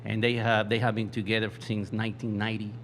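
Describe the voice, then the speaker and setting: A man from Venezuela with a Venezuelan Spanish accent, a native Spanish speaker and metal musician guest, speaking haltingly as he searches for words. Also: lowish voice, monotone, a little hiss or hum.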